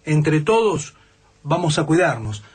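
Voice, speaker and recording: A man with an Argentine accent playing an emotional, excitable survivor in a radio drama. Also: a little high, measured pace, husky, full character voice. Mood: warm welcome.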